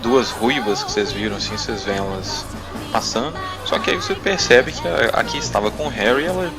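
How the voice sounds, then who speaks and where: A man's voice: fairly low pitch, brisk. A Brazilian man narrating a tabletop role-playing game as game master and podcast host, with music behind him.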